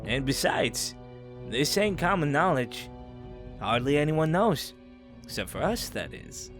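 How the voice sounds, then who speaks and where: Guy doing a cheeky prison schemer, highish voice, bounces all over, flippant, faint music behind. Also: sing-song, unhurried, fairly bright tone. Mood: cheeky, conspiratorial. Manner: colloquial, flippant. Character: scrappy, cheeky male convict, sly schemer. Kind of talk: audio drama acting.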